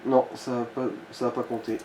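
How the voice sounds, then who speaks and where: Mellow-voiced man in an echoey, noisy room. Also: mid-range voice, flat delivery, a little fast. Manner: casual, crude slang and swearing. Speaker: let's play co-commentator guiding the player. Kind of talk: casual gaming chat. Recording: roomy echo with clear background noise.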